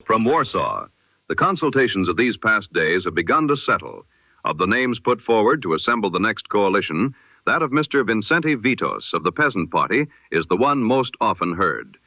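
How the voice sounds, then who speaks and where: Male newsreader, low voice, lively emphatic delivery, vintage radio broadcast style, muffled lo-fi sound. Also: no echo. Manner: measured, emphatic delivery with dramatic pauses. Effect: old radio sound. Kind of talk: old-time radio news broadcast. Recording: dull lo-fi old radio sound.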